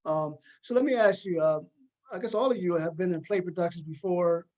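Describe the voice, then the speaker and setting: High-pitched male talk show host. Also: fairly quick, a little mellow, highs cut off. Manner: informal, repeats phrases. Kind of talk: casual interviewing.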